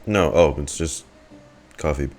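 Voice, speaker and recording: A man's voice: rumbling low, unhurried, music in the background. A young man giving casual, slangy game reaction commentary as a streamer, with muttered asides.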